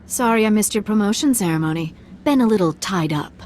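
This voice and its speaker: A woman's voice: mid-range voice, expressive, brisk, darkish tone, slight background noise, lightly acted. An actress playing a cocky, breezy young noblewoman spy in a video game, teasing and flippant. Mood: mocking. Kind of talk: dramatic video game acting.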